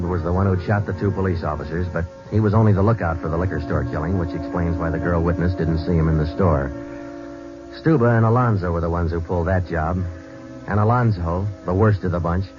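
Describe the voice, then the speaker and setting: A man narrating as a police detective in a 1940s radio drama, clipped and matter-of-fact. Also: low voice. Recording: dull old radio broadcast with music.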